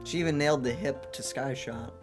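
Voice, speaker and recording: A woman's voice: a bass voice, a little fast, over music. A young woman co-hosting a let's play with casual banter and short, dry, wry remarks.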